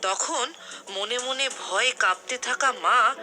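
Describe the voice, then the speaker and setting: A woman's voice: fairly high pitch, takes it slowly, over music. A woman narrating a scripted fairy tale as the storyteller.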